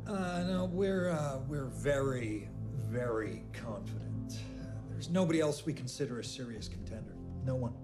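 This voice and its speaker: A man's voice: mid-range voice, animated, normal speed, hardly any pauses, over music, close to an everyday voice. A man acting as an arrogant, brash racing team boss in a naturalistic screen drama. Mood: cocky.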